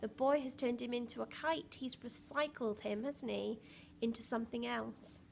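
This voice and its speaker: A woman's voice: on the high side, steady tone, moderate pace, gravelly, a little hiss or hum. A woman primary school teacher reading a picture book expressively to young children, with dramatic emphasis and questions to the listeners.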